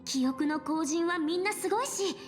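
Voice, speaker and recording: A woman's voice: very high-pitched, fast, over music. A woman voicing a bubbly, sentimental teenage girl in an anime-style game, exclamatory and openly emotional. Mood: worried, earnest. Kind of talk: Japanese anime game voice acting.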